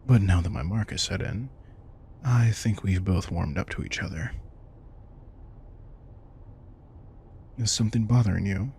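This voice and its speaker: A man playing a protective, wry and tender vampire king in an intimate audio roleplay, soft-spoken and casual. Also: sits low, fairly quick, creaky, slight background noise. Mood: gently concerned. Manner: soft-spoken, casual, reassuring, occasionally swearing. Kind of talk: intimate audio roleplay, one-sided dialogue.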